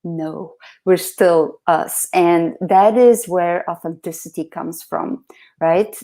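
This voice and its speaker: A soft-spoken woman hosting a casual interview over a video call, earnest and reflective, laughing often. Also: lowish voice, slow pace. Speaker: host.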